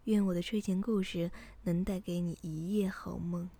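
Woman narrating bedtime story over loud background noise. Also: fairly low pitch, fairly even intonation, normal speed.

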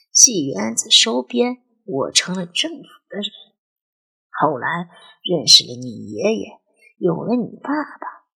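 A woman voicing an elderly grandmother in an audio drama, wistful and superstitious, reminiscing with drawn-out sighs in a husky voice. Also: lowish voice, sharp tone, exaggerated voice, low-quality recording. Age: older. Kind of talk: Chinese audio drama dialogue.

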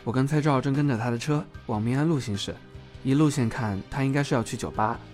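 A man's voice: mid-range voice, fast, a little mellow, soft background music, lightly acted. A man playing a bashful, easily flustered but polite young policeman in an audio drama. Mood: alert, concerned. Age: young adult.

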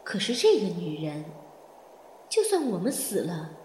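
An echoing, reverb-soaked inner voice of a calculating woman played by an actress in an audio drama. She broods and questions deliberately, with animated swings of pitch and faint noise behind. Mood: suspicious, analytical. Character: calculating, suspicious woman reasoning through a murder in thought. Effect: reverb marking inner thoughts.

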